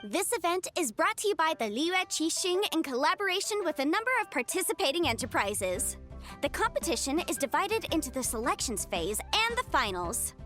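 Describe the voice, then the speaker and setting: A young game-show style officiator in a video game, high and animated. She is formal and poised, with cheerful, ceremonious flair. Music plays clearly behind her. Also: normal speed. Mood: formal, upbeat. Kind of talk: formal, showy announcer-style game voice acting.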